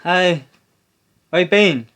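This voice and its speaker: A man's voice: high voice, slow pace, a bit bright. A young man acting a scene, awkwardly confessing an affair, mumbling and evasive. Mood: defensive. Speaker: drama graduate performing a scene.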